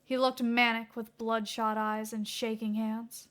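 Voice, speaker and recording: A woman's voice: a little high, flat delivery, unhurried, a bit bright. A woman reading a fan fiction story aloud as scripted narration.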